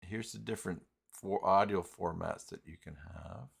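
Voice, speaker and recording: Deep-voiced, gravelly man thinking aloud. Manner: halting, thinking aloud, muttering to himself. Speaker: live stream host, software tutorial presenter. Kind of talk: casual unscripted screen-share walkthrough.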